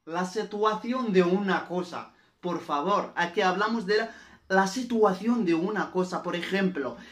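A man's voice: high-pitched, a little slow, a bit bright, echoey room. A man from Morocco with a Moroccan accent, a native Arabic speaker, teaching a grammar lesson in a repetitive, didactic way with frequent checking tags. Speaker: Spanish language teacher. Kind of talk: scripted-free grammar lesson with examples.

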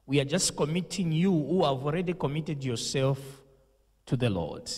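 A man's voice: fairly high pitch, lively intonation, darkish tone, big-room sound. A young man, a pastor, giving a solemn condolence message and prayer into a handheld mic in a studio. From Zambia. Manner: slow, solemn delivery with preacher's emphasis. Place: indoor studio set with purple lighting.

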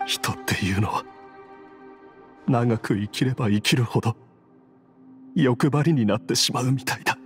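An actor playing a gentle, dying father in a Japanese video game, speaking in a solemn, wistful, halting way. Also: medium pitch, soft background music, lightly acted. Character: dying gentle father, weary, devoted to his family.

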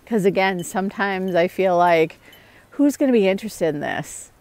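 A woman's voice: mid-range voice, animated, normal speed, a little mellow. A middle-aged woman chatting casually and candidly to viewers as a homestead vlogger, outdoors on an earbud mic. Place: outdoors in the woods.

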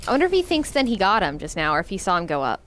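A woman's voice: medium pitch, sing-song, racing, fairly bright tone. A young adult woman hosting a reaction, chatting casually into a headset mic. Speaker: reaction show host. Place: small indoor room, seen at desk. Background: video game music.

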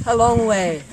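Slow-talking Australian woman outdoors. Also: a little high, creaky. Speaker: pilgrim interviewee. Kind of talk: casual chat. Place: outdoor hillside trail. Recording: loud wind and outdoor noise.

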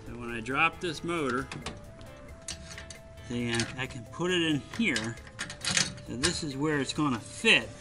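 A man giving a casual step-by-step how-to while working on a boat trailer on his driveway, often turning away from a low camera. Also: a little slow, long pauses, over music. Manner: plain, practical, step-by-step explaining while working. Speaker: DIY vlogger. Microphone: camera mounted low on the ground, voice often off-mic.

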